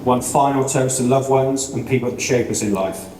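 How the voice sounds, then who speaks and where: A man's voice: mid-range voice, normal speed, heavy background noise. Groom in his thirties, sincere wedding speech with dry humour; distant, roomy hall sound. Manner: heartfelt with dry jokes. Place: large reception room at a wedding. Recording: echoey hall, voice from far off.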